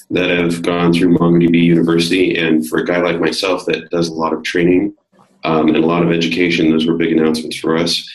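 A man with a deep, slightly worn voice speaks as a podcast guest. He explains technical points in a relaxed way, full of little fillers. The recording carries a roomy echo. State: slightly hoarse. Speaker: guest, developer advocate. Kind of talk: casual technical interview.

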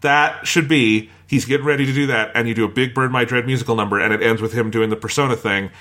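A man's voice: mid-range voice, fairly even intonation, fast, pushed and bright. A man hosting a casual critical discussion, giving firm opinions and steering the talk along. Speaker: podcast host and reviewer.

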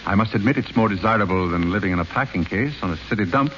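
An actor playing a dignified, reserved butler in a 1930s radio play, polite and deferential. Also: male voice, lowish voice, expressive, quick pace, fairly bright tone. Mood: courteous. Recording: muffled hissy 1930s radio broadcast.

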